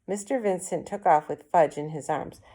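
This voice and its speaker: A woman with a slightly low voice reads a children's novel aloud. She narrates in the first person as a storyteller. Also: normal speed, mellow. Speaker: read-aloud reader.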